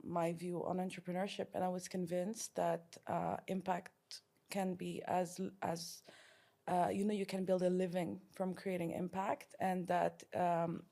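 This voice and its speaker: A woman's voice: lowish voice, warm tone. A woman founder answering interview questions in a casual, thoughtful way, with frequent fillers and restarts. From France. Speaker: startup founder.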